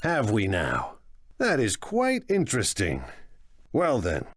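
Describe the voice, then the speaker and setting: An actor playing a bold, scheming criminal leader in a video game, commanding and self-assured. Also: male voice, lowish voice, wildly swooping pitch, takes it slowly, breathy edge, no echo, clean studio sound, full character voice. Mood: confident.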